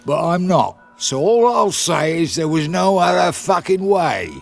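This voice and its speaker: An actor playing a hard-bitten guerrilla commander in a game, with a sardonic, clipped delivery. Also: male voice, a little high, expressive, unhurried, creaky, faint music behind. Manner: sardonic, clipped, sometimes florid. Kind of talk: cinematic game voice acting.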